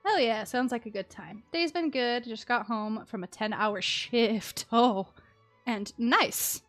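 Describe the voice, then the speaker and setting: A woman's voice: fairly high pitch, takes it slowly, faint music behind. A young adult woman chatting casually and expressively on a game livestream. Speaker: game streamer.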